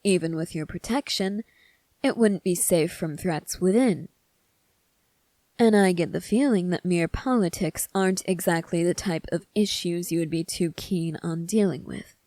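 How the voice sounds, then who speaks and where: A woman playing a cunning, self-assured young prince in an ASMR roleplay, speaking in a measured, formal, teasing way. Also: fairly low pitch, warm tone, no echo. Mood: calm, businesslike.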